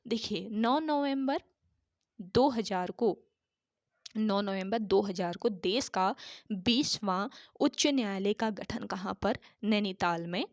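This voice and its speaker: A woman tutor with a sore throat teaching an exam-prep lesson, repeating each key answer slowly so students can note it down. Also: mid-range voice, dry recording, studio quality.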